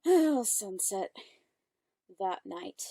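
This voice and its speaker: A woman's voice: mid-range voice, expressive, extremely slow, creaky, mellow, slightly rough, no echo. A woman commentator giving casual, off-the-cuff reactions to an audio drama, with music and voices behind her.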